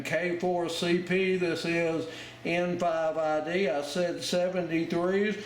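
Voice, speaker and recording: A man giving an informal ham radio lesson, talking in halting pieces as he explains a demonstration step by step. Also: a little high. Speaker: amateur radio instructor. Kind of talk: informal instructional narration over a demonstration. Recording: roomy, loud noise, radio clicks and tones.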